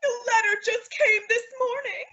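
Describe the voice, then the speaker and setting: A woman's voice: extremely high pitch, moderate pace, pushed and bright. A woman playing an emotional, doting housewife in a theatrical, old-time radio comedy style. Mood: tearful wailing. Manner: theatrical, melodramatic, effusive. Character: emotional, dramatic, doting young-ish housewife. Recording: dull, lo-fi audio drama recording.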